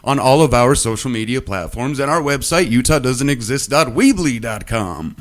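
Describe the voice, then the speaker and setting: An upbeat man hosting a local radio interview, encouraging his guest with quick affirmations. His pitch moves lively up and down in a middle register. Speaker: radio host.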